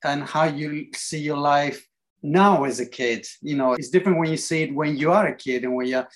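A man's voice: a little high, normal speed. A man from Greece, talking openly and reflectively as a podcast interview guest, with frequent fillers. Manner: open, reflective, many fillers. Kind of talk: casual interview conversation.

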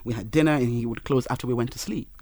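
A man, a Yoruba speaker, telling his life story in an interview in an earnest, measured way. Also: mid-range voice, darkish tone, no echo. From Nigeria. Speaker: interview guest.

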